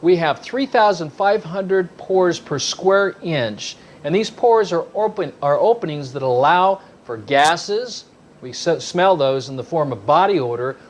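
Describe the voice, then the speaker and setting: A man's voice: on the high side, animated, unhurried. A man giving an explanatory health talk emphatically, asking questions and answering them himself. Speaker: health speaker.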